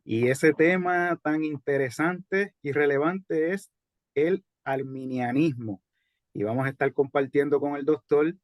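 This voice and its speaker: A man's voice: a little high, dry recording. Male host, close dry sound. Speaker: host introducing a guest. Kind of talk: religious interview introduction.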